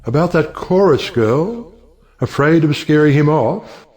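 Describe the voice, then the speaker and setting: An actor playing a wry, worldly judge in an audio play, with a dry, sardonic way of talking. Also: male voice, fairly high pitch, lively intonation, a little slow, a little mellow. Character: wry, cynical male judge, worldly and teasing. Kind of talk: audio play acting of a 1910s stage comedy.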